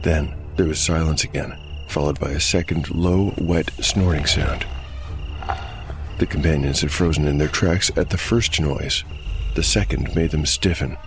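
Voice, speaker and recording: A man with a deep voice narrates a dark fantasy tale as game master. His delivery is measured, scripted storytelling, with soft music underneath.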